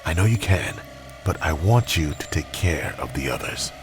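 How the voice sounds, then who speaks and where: A man playing a sick, dying older father in an audio drama, speaking weakly and gently with quiet dignity. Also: very deep voice, expressive, normal speed, over music. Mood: gentle, earnest.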